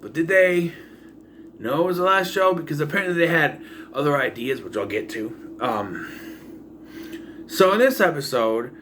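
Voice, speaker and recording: A man giving a casual, rambling, improvised recap as a reviewer of an animated series. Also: on the high side, normal speed, pauses often. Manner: loose, improvised, acting out quoted bits. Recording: faint background noise.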